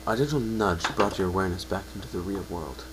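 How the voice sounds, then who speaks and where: A man's voice: low voice, fast. A man narrating a second-person story as a dramatic reading, sometimes stumbling and correcting himself. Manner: reads with stumbles and self-corrections. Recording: home recording with faint hum.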